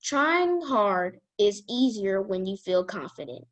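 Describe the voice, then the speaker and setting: A woman reading a children's self-help book aloud as a guest reader, in a careful, halting manner. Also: medium pitch, big pitch swings.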